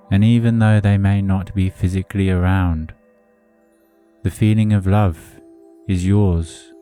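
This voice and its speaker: A man narrating a hypnotic guided meditation in a soothing, deliberate manner with measured phrasing. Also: low-pitched, mellow. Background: music under the voice.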